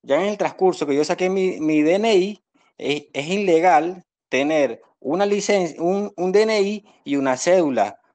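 A man's voice: sits high, a little slow, a little mellow. A young man from Venezuela with a Venezuelan accent, earnestly explaining his case as a defendant at a court hearing over a video call. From Venezuela, born in Caracas. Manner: earnest, repetitive explaining with apologies to the judge. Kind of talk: spontaneous testimony, pleading his case. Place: indoors against a plain wall, on a video call. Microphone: phone at arm's length on video call.

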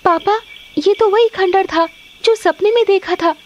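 A woman's voice: extremely high pitch, unhurried, dull audio, noisy background, voice pushed up. A woman voicing a timid, pleading young snake-girl daughter in a cartoon story. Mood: anxious. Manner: plaintive, pleading.

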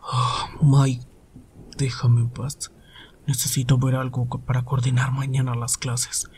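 A man playing a tired, gloomy, reserved hero teacher in an anime roleplay, with a subdued, breathy voice. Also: mid-range voice, little pitch movement, a little slow, darkish tone, slight background noise, lightly acted. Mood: weary, irritated. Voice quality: husky, breathy. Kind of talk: anime fan-dub ASMR roleplay.

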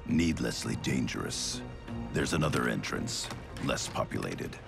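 A stoic veteran ninja grandmaster with an extremely low voice and a thick, gravelly rasp. He speaks in grave, measured, formal lines like a stern clan leader in a fighting game. Loud music plays behind him. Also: lively intonation, full character voice. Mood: measured, serious. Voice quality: deep, gravelly.

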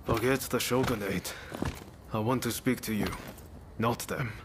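An actor playing an earnest, decisive young samurai reformer in a video game, speaking plainly and with assurance. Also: male voice, medium pitch, steady tone, a little slow, noisy background, lightly acted. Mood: earnest persuading. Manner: direct, plain-spoken, assured. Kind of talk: video game cutscene acting.

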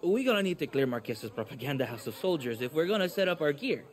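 A chatty, sheepish young rebel sidekick in a game cutscene. His voice is high and bounces around a great deal. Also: big pitch swings, fast. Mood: eager, sheepish. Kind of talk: video game cinematic acting.

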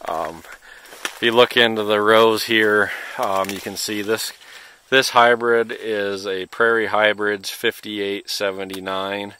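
A deep-voiced man with a Midwestern American accent walks through his field as he talks. His delivery is slow and unhurried, with an edge of brightness that carries in the open air. He is understated and hedges his judgments, speaking off the cuff. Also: steady tone, slight background noise. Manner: understated, hedging. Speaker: farmer hosting a field video. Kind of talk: casual unscripted field walk-through. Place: outdoors in a cornfield. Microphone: handheld camera or phone, operator behind it.

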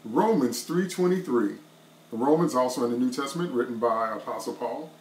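A man's voice: mid-range voice, moderate pace. A middle-aged man preaching an online devotional sermon to a webcam from a small wood-paneled room, with an emphatic, repetitive cadence. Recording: roomy echo.

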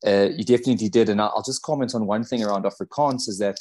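A man's voice: on the low side, fairly even intonation, fast talker, a little mellow. A man from South Africa moderating a webinar over a video call, speaking in an easygoing, appreciative way. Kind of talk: casual conversational talk.

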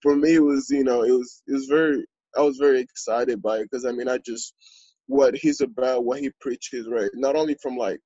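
A man in his twenties from Congo, a podcast guest telling his story in a relaxed, earnest way with lots of fillers. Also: medium pitch, fairly quick, husky, no room sound, muffled recording. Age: 20s. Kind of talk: podcast interview.

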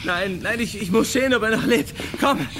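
An actor playing an agitated, defiant man with a secret, speaking haltingly in a German radio crime drama. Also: sits high, background noise, lightly acted. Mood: shaken, desperate.

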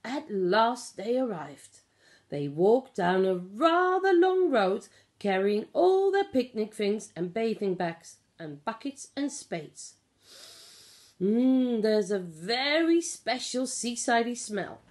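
A middle-aged woman reading a picture book aloud to young children, explaining and asking simple questions in an expressive way. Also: a little high, slow. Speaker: pre-school storyteller. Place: small living room at home. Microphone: phone or tablet at about arm's length.

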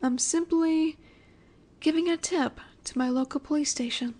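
A woman playing a flirty, menacing, self-assured mafia boss in an audio roleplay, speaking coyly and deliberately. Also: sits high, warm tone, lightly acted. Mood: composed, sly. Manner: coy, teasing, deliberate, sometimes breathy. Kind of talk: scripted audio roleplay, addressing the listener.

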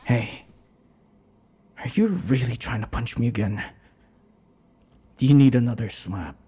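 A man voicing a teasing, possessive centuries-old vampire captor in an intimate ASMR roleplay, breathy and husky, mock-tender and taunting. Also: mid-range voice, warm tone. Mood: mocking, irritated. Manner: slow, mock-tender, taunting, often dropping to whispers. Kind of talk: ASMR boyfriend roleplay, intimate dramatic monologue.

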